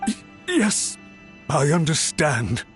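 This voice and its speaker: A man's voice: on the high side, sing-song, slow pace, music in the background. A man playing an anxious, apologetic official in a video game, formal and deferential as he pleads with a superior. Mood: nervous, deferential. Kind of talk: video game dialogue acting.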